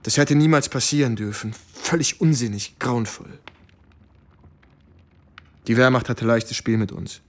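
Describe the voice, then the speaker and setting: An actor playing a shaken American soldier who recounts wartime horror gravely and haltingly in a German radio play. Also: male voice, mid-range voice, natural voice. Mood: bitter, weary. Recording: studio radio drama with music underneath.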